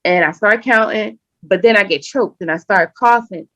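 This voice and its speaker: A woman guest on a podcast, telling a personal story in an animated, emphatic way and often acting out what people said. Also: medium pitch, moderate pace, no room sound. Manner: animated, emphatic, acts out quoted speech. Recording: dull lo-fi sound.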